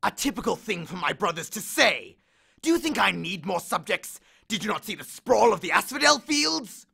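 A man's voice: high voice, very animated, moderate pace, creaky, bright, forceful tone, slightly rough, pitched above the performer's own voice, heavily acted. Man voicing Hades, a bellowing god of the dead. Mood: aggrieved ranting. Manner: bellowing, complaining, menacing. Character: angry male god of the dead, grand and aggrieved. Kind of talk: audiobook character voice.

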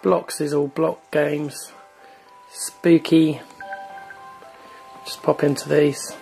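A man's voice: fairly high pitch, extremely slow, gravelly, soft background music, rough recording. A man hosting a gaming channel, chatting casually with many fillers as he walks through a console's online store. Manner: relaxed, filler-heavy, chatty. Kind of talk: casual screen walkthrough.

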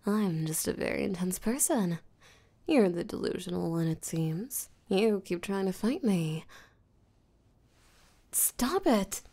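Woman doing a flirty menacing vampire lady for roleplay audio, possessive n teasing, breathy and whispery, lots of vocal fry, really unhurried. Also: medium pitch, sing-song, warm tone, full character voice. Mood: taunting, amused. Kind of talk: roleplay audio drama, intimate acting.

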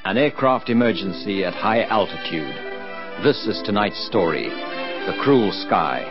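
A man's voice: lowish voice, animated, unhurried. A man announcing in a scripted vintage radio style. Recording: muffled old radio broadcast. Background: dramatic music.

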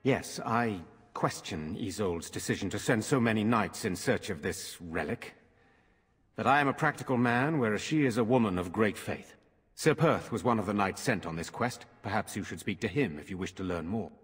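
An actor playing a grave, practical nobleman knight in a fantasy video game, speaking in a measured, formal way. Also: lowish voice, animated, a bit bright, slightly rough. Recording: long hall reverb.

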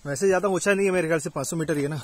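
A man's voice: fairly high pitch, rapid-fire, slight background noise. A young man in his twenties vlogging casually outdoors on a hike, thinking aloud and mixing in English phrases, recorded on a handheld phone. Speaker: travel vlogger. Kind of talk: casual vlog commentary while hiking. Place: outdoors on a green hillside and at rock caves. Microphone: phone camera held at arm's length.

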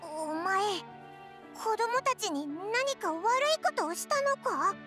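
An actress voicing a tiny, blunt, excitable fairy girl in a Japanese game, squeaky and childlike. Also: unhurried, faint music behind, exaggerated voice. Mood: startled. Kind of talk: Japanese anime-game voice acting.

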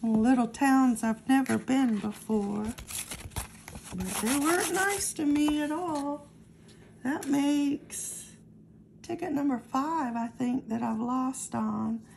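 A woman with a high, gravelly voice talks slowly and easily, reading lottery numbers aloud one at a time. She sounds close to the mic, with a faint hiss behind her. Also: darkish tone. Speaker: scratch-off ticket vlogger. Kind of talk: casual talk while scratching lottery tickets.

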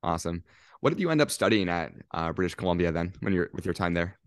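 A young man hosting a podcast interview over a video call, asking curious, upbeat questions into a studio mic on a boom arm. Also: lively intonation, fast, dry recording. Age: young adult. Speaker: podcast host. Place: home room on a video call.

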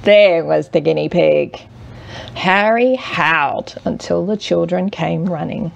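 A woman with a heavily creaky voice reads a children's storybook slowly and expressively. She leaves dramatic pauses and speaks at a middling pitch. There is faint noise in the home recording. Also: dull audio. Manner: measured, with dramatic pauses and hushed drawn-out words. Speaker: mother reading a picture book aloud.

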